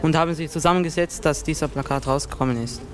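A man's voice: on the high side, fast. A man earnestly explaining an idea in plain, simple sentences. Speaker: interviewee. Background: music.

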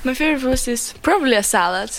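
Chatty young woman, first language Macedonian, slightly high voice. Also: lively intonation, fast, a bit bright, faint music behind. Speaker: interviewee. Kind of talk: casual interview answers.

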